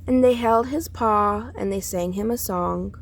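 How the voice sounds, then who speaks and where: A woman narrates a children's picture book at a slow, unhurried pace. Her delivery is expressive and deliberate, with a noticeable creak in the voice. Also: medium pitch. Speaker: storybook reader. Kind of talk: read-aloud children's story narration.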